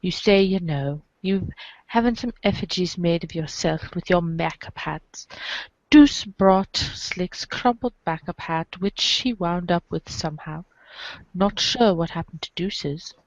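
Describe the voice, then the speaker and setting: Woman podcast reader, slow deliberate delivery, dramatic script narration, dry muffled lo-fi sound. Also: fairly low pitch, no echo. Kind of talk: dramatic read-aloud of a webcomic script.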